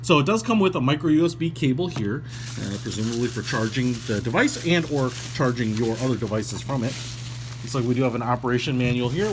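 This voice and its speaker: A man giving a casual, ad-libbed product review in an informal, chatty way with a bit of slang. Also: mid-range voice. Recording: clearly noisy recording with hum.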